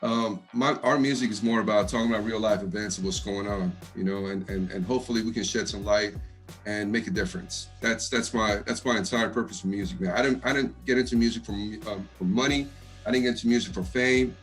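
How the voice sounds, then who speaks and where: Man, deep voice, Texan with East Coast edge, quick and relaxed, faint music underneath. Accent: East Coast US tinge over Texan. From Texas. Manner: fast-talking, laid-back, laughs often, says man a lot. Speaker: rapper interviewee. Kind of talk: casual interview chat.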